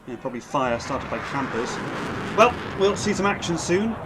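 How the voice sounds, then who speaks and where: Guy playing an army captain calling it in from the field, kinda high voice, street and engine noise behind him. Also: big pitch swings, moderate pace. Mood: confident. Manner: assured, then rising to alarm as he reports from the front. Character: brisk confident army signal captain reporting from the field. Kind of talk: 1930s-style radio drama acting.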